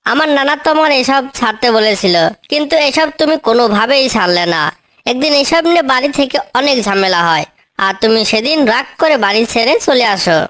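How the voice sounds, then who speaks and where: A woman's voice: fairly high pitch, animated, brisk, fairly bright tone. A woman voicing a small, clever female bird in a children's cartoon, with a coaxing, pleading manner. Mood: pleading, hurt. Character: small clever female tailorbird, helpful, wheedling niece. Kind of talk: children's cartoon story dialogue.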